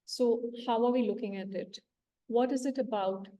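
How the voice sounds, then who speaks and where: A woman's voice: fairly high pitch, moderate pace, mellow. A woman English teacher hosting a workshop over a video call. She welcomes people and explains by asking questions.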